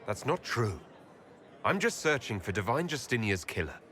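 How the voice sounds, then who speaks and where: An actor playing a steady, plain-spoken leader in a fantasy video game, composed and direct. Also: male voice, medium pitch, normal speed. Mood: firm. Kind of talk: video game dialogue acting.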